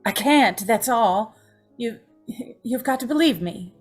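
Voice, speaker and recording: A woman's voice: fairly high pitch, animated, takes it slowly, husky, faint music behind, full character voice. A woman playing a tormented, pleading young woman, tearful and melodramatic in an old-time radio drama. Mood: lonesome, pleading. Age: young adult. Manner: tearful, breathlessly emotional, melodramatic.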